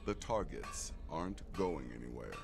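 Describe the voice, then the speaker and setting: An actor playing a cocky male assassin guild leader in a video game, smooth and condescending, with measured delivery. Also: rumbling low, big pitch swings, over music, full character voice. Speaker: game character assigning contracts.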